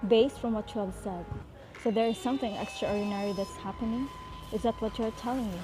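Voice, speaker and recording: A woman's voice: fairly high pitch, fairly even intonation, fairly quick, over music, close to an everyday voice. A woman playing a stern, businesslike investigator in a radio drama, formal and insistent. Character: stern businesslike female investigator questioning students.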